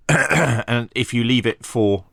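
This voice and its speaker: A man's voice: mid-range voice, slow, gravelly, fairly bright tone, dry recording, studio quality. A man giving a casual, explanatory product review in a conversational, understated way. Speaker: radio equipment reviewer.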